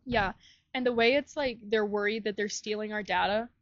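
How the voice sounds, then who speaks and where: A woman's voice: mid-range voice, steady tone, normal speed, muffled recording, slight background noise. A young American woman co-hosting a podcast in casual chat, slangy and informal, peppering her sentences with "like". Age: young adult. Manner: informal, slangy, heavy use of "like". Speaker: podcast co-host.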